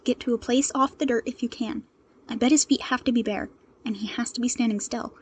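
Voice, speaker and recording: A woman voicing an earnest, anxious, quick-thinking boy who works as a secretary and strategist in a fan audio story. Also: fairly high pitch, steady tone, a little hiss or hum, lightly acted. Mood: focused, urgent. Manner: hesitant stammer turning to brisk instructions.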